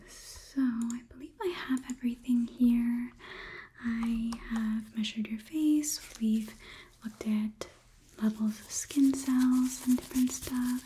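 A woman in her twenties softly whispering in a breathy, calm, clinical ASMR roleplay as a polite, condescending robot overlord examining a human. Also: on the high side, slight background noise. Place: small indoor studio room. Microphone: close ASMR microphone.

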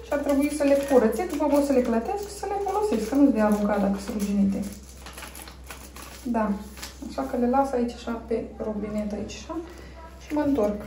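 A fairly high-voiced woman in her thirties from Romania talks chattily into her phone while tidying and cleaning her home. Her narration is relaxed and unscripted, with frequent pauses and fillers as she moves from one chore to the next. A faint hiss of noise sits behind her voice. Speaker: family vlogger. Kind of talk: casual clean-with-me vlog narration. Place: family home, indoors. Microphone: phone camera.

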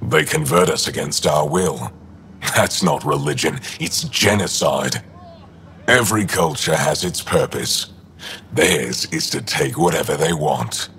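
An actor playing a gruff, war-hardened alien resistance leader in a video game, terse, dry and cynical with a gravelly voice. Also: male voice, extremely low pitch, expressive, a little slow, a bit bright, slight background noise, full character voice. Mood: blunt, grim. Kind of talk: video game dialogue acting.

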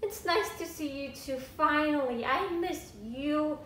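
A woman voicing an earnest, agreeable young child puppet with deliberate, drawn-out phrasing. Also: high-pitched, fairly bright tone. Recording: echoey classroom, distant mic, faint noise.

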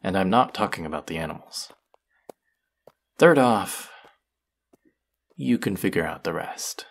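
Man acting a gravelly, low-voiced vengeful fantasy villain. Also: lively intonation, exaggerated voice. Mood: cold mockery. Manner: menacing, sardonic, slow and deliberate. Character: vengeful, bitter male fantasy villain, menacing and grieving. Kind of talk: dramatic audio roleplay acting addressed to the listener.

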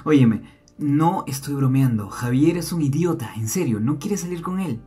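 A protective young man, played by an actor, in an audio drama. His voice is mellow and husky, earnest yet insistent and scolding, with music underneath. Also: a little high, animated, moderate pace. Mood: exasperated, earnest. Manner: insistent, admonishing. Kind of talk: ASMR audio drama acting.

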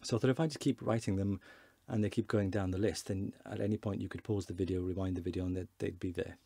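A man in his forties, a fluent Welsh speaker, giving a relaxed, chatty beginner lesson from his desk with hesitations and repeated words. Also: low voice, fast talker, gravelly, husky, dry recording. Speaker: Welsh language teacher. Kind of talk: casual online lesson.

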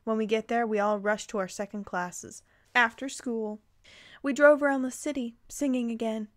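Female reader-commentator, high-pitched and expressive, casual amused asides, very close dry sound. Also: normal speed, dry recording. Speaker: host reading fan fiction aloud with commentary. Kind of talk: informal reading with reactive asides.

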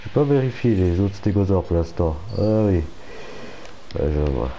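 A man's voice: low-pitched, expressive, normal speed, noisy background. A man with a cold giving casual, muttered commentary over a game and swearing at setbacks. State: has a cold. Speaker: gaming channel host.

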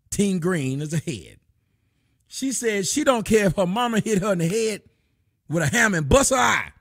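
A middle-aged man hosting a livestream from his home, giving loud, mocking commentary full of cursing on a video clip. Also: fairly high pitch. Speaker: livestream host. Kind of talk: profane comedic commentary on a clip. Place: indoor home room.

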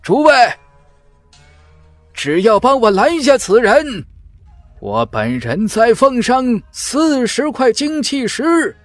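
A desperate villain master, played by a male narrator, shouts commands to a crowd. His voice is strained far upward, in fantasy audiobook style. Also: very high-pitched, sing-song, takes it slowly, no room sound, soft background music, voice pushed up, heavily acted. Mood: urgent.

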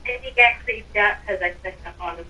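A woman's voice: mid-range voice, brisk, pushed and bright, slightly rough, poor audio. A woman talking casually as a player in an in-game voice chat. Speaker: gamer in a group roleplay.

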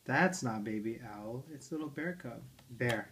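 A man's voice: medium pitch, expressive, a little slow, noisy background. A father reading picture books to his toddler at home, playful and coaxing, asking her questions about the pages. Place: living room armchair at home.

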